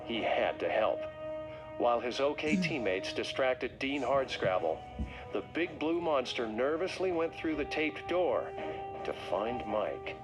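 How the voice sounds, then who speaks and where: A man narrating a scripted read-along story for children in a dramatic, expressive way. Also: mid-range voice, a little slow, poor audio. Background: music.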